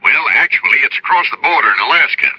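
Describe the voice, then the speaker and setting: An actor playing a chummy, coaxing insurance executive over a telephone line in a classic radio drama, teasing and unhurried. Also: male voice, fairly high pitch, lively intonation, slightly rough, dry recording. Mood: genial, sly. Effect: telephone filter. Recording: thin old radio phone sound.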